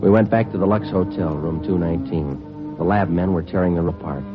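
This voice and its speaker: An actor playing a terse police detective who narrates a 1950s radio drama in a flat, matter-of-fact way. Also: male voice, deep voice, fairly quick, close to an everyday voice. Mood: narrating. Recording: muffled vintage radio broadcast with music.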